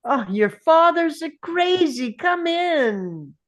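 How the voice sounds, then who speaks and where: A woman's voice: extremely high pitch, very animated, takes it slowly, exaggerated voice. A woman at a script table read playing an exasperated older wife and mother.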